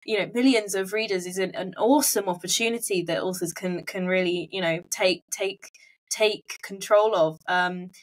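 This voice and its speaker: Female podcast interviewer, medium pitch, conversational, feels her way into questions, remote call setup. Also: normal speed, low-quality recording. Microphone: video call.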